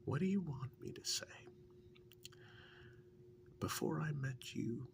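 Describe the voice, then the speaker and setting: A man playing a wry, affectionate partner reminiscing in a soft-spoken, intimate ASMR role-play, halting and musing, breathy and often slipping into a whisper. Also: mid-range voice, animated, slight background noise. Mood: wry, reflective.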